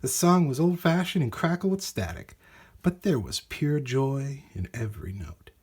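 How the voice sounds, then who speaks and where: A man reading a children's storybook aloud in an animated, expressive way with dramatic emphasis. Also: mid-range voice, normal speed, breathy edge. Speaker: storybook reader.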